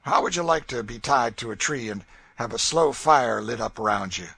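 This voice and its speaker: Here is a cruel, mocking master, as a male reader puts him on, loud and cutting, with a voice pushed higher than the reader's own. He taunts and threatens with open scorn in a coarse drawl. The tone stays bright and forceful throughout. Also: normal speed, vocal fry, husky, dry recording, exaggerated voice. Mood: taunting. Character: brutal, sneering male slave master.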